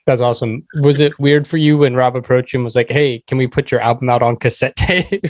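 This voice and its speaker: A man's voice: medium pitch, fairly even intonation, brisk, no echo. A man hosting a podcast, reacting with short remarks and laughing along with his guest. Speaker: podcast host. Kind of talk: casual podcast conversation.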